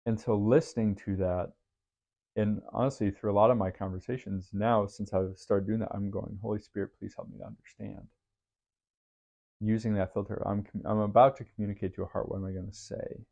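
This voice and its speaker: A man hosting a podcast, talking through his thoughts in an earnest, faith-based, reflective way with a deep voice. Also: flat delivery, a little fast, vocal fry, mellow, dull audio. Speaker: podcast host. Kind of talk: reflective solo podcast monologue.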